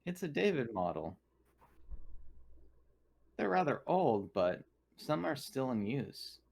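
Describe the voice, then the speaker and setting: A man playing a polite, formal android crew member in a sci-fi roleplaying game, speaking in a measured, detached way. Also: mid-range voice, big pitch swings, vocal fry, darkish tone, heavily acted. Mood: detached, analytical.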